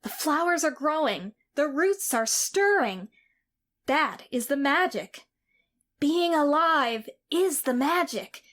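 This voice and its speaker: A woman's voice: high-pitched, animated, slow pace, a bit bright, exaggerated voice. A woman voicing a formerly sickly boy, proud, commanding and earnest. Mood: chanting. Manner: imperious, earnest chanting. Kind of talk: amateur audio drama.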